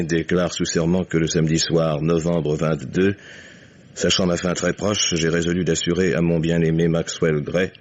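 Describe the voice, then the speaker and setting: A man acting a shrewd older gentleman in a 1950s radio mystery, with a very deep voice. He speaks courteously and deliberately, with suave irony and quiet command, on a dull vintage recording. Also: close to an everyday voice. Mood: grave, measured.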